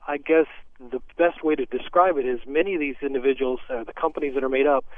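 A man's voice: mid-range voice, steady tone, fast. A man explaining a point to listeners in a radio discussion. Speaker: commenter addressing listeners. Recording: dull, lo-fi radio line.

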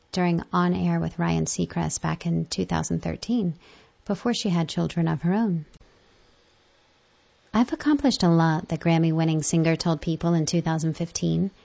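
Low, creaky-voiced woman reading articles aloud. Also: mellow. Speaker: reader of magazine articles. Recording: dull, muffled lo-fi audio.

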